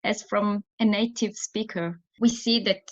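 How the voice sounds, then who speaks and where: A woman's voice: mid-range voice, steady tone, measured pace. Italian woman speaking English, lo-fi call. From Italy. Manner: searching for words, frequent restarts, thoughtful. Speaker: theatre director, guest. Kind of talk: interview answers on a remote call.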